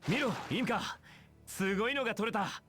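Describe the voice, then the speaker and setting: A man's voice: high voice, fast talker, pushed and bright, breathy edge, faint music behind, heavily acted. A man playing an easygoing, curious, considerate young soldier in a Japanese video game, talking in a casual, friendly way. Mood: playful, curious.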